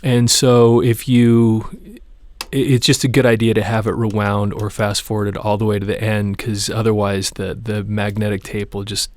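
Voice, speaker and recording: A man's voice: on the low side, flat delivery, moderate pace, no room sound. A man presenting a hands-on repair tutorial, explaining each step in an informal, plain-spoken way. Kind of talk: casual hands-on how-to demonstration.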